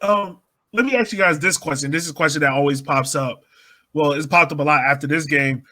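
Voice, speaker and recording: A man's voice: on the high side, fairly quick, sharp tone. A man co-hosting a podcast, chatting casually through soccer analysis. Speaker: podcast co-host.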